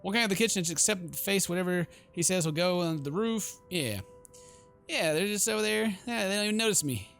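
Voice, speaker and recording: Man, let's play host, slightly high pitch, goofy slang, reading aloud, light background music. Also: expressive, fast talker, creaky, a bit bright. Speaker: gaming YouTuber.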